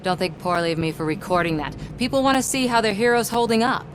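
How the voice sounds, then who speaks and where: Actress as female news reporter, medium pitch, self-assured, persuasive, probing, game cutscene, faint noise behind. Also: animated, fast, lightly acted. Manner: smooth-talking, probing, persuasive. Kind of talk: video game cutscene acting.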